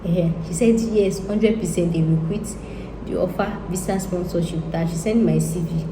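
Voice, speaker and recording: A woman's voice: fairly low pitch, moderate pace. A Nigerian woman talking casually to her viewers, thinking aloud and repeating herself as she rambles. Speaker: YouTuber. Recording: echoey room with faint ambient noise.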